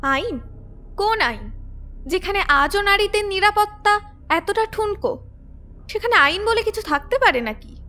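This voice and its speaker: A woman's voice: very high-pitched, unhurried, pauses often, exaggerated voice. An actress voicing a vengeful young woman who coldly and defiantly confesses to killing an abusive man, in an audio crime drama. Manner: bitter, defiant, coldly calm. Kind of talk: Bengali audio drama acting.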